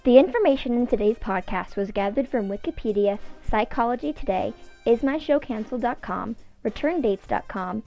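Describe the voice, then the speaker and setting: A woman's voice: fairly high pitch, moderate pace, vocal fry, warm tone, highs cut off, faint music behind. A young woman co-hosting a podcast, reading segments earnestly and correcting her own grammar. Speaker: podcast co-host.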